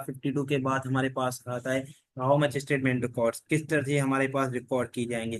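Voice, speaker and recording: A man teaching an online class, explaining steadily and repetitively with many fillers. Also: mid-range voice.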